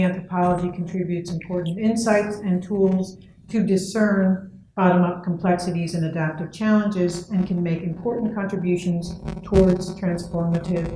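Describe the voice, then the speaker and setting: Lady giving a keynote in a big echoey lecture hall, kinda low voice, thoughtful, lots of uhs. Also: dark tone, a little hiss or hum. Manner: earnest, thoughtful, with frequent fillers. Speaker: keynote speaker. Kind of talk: academic conference talk.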